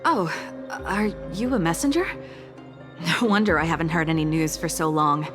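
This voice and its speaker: Swooping, low-pitched anxious wife. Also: female voice, lively intonation, normal speed. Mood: hopeful, uncertain. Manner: polite, questioning. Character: anxious wife awaiting news, polite, civilian. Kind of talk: dramatic video game acting. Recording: loud music under the voice. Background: music.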